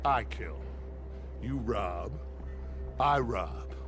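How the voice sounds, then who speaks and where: An actor playing a sardonic, self-righteous outlaw leader in a video game, with a theatrical, taunting delivery. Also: male voice, fairly low pitch, expressive, fairly bright tone, heavily acted. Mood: cold menace. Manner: deliberate, taunting, grandiose. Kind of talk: video game cutscene acting. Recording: music behind.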